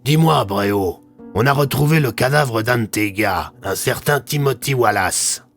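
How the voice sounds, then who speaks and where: A brusque, commanding police commissioner acted by a man in an audio drama. He talks familiarly and forcefully, with music in the background. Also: medium pitch, unhurried, vocal fry. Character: brusque male police commissioner, old comrade.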